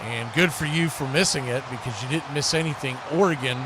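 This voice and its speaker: A man's voice: mid-range voice, brisk. An American man giving live, unscripted football commentary as a stream host, in a folksy, conversational way. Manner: conversational, folksy, rises to excited shouts on big plays. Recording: clearly noisy live stream background.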